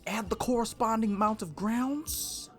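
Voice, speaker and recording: A gaming host reading visual novel text aloud and commenting on it casually, in an animated, playful, slangy way. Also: medium pitch, measured pace, music in the background.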